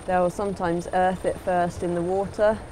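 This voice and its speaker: A woman's voice: on the low side, little pitch movement, normal speed, noisy background. A woman giving an instructional voice-over as a sailing instructor, in a plain, explanatory way.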